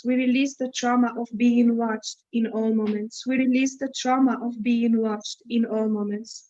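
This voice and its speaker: Muffled video-call sound; female participant and interpreter from Morocco, Arabic first language, somewhat high voice. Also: a little mellow. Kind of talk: repeating affirmations, casual conversation. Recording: dull lo-fi video call audio.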